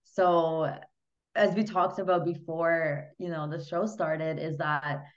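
Woman from Hungary, podcast guest. Lowish voice, informal and earnest, on dull lo-fi call audio. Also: fairly even intonation, takes it slowly. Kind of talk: casual interview conversation. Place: remote online podcast call.

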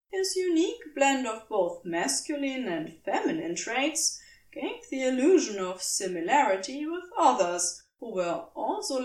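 A woman reading scripted fiction as a storyteller, with measured, deliberate phrasing and dramatic pauses. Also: fairly high pitch, distant mic, rough recording. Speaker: podfic narrator.

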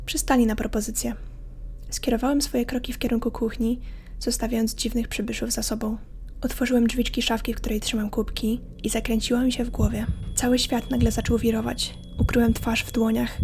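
Female horror podcast narrator: slightly high voice, flat measured intonation, hushed suspense. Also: slight background noise. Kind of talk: scripted horror story narration. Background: faint music.

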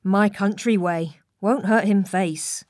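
A woman's voice: mid-range voice, takes it slowly, darkish tone, no room sound, lightly acted. A blunt harpooner from a far country, put on by a woman reading a sea novel. He speaks in short, broken English phrases. The sound around him is dry. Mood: unbothered. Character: blunt foreign male harpooner from the islands.

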